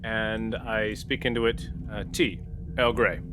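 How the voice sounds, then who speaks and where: A man's voice: on the low side, steady tone, measured pace, heavy background noise. A man playing a tabletop roleplaying game, casually chatting and narrating what his character does. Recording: background music under the voice.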